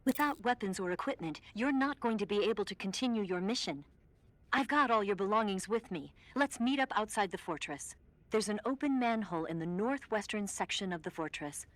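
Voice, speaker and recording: A woman's voice: mid-range voice, close to an everyday voice. A woman playing a capable, secretive young female spy in a video game, urgently guiding an ally. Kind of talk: video game cutscene acting.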